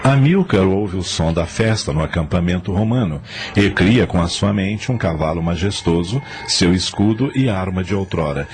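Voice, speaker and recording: A man's voice: low-pitched, expressive, normal speed, a little mellow, a little hiss or hum. A man narrating a scripted radio drama in a dramatic storytelling style. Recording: radio drama with music underneath.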